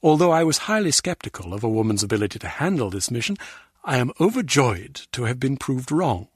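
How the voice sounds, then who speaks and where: A rough, husky male voice plays a starchy agency official. He is formal, pompous and abrasive, on a close, dry recording. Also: medium pitch, very animated, a little fast, no echo. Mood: stiffly apologetic. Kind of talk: video game voice acting.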